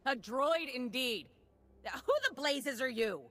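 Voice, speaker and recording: An actress playing a frazzled, sarcastic town mayor in a video game, with a blustering, folksy, exclaiming delivery. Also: female voice, sits high, lively intonation, measured pace, bright, forceful tone, full character voice. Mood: indignant, frazzled. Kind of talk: video game character acting.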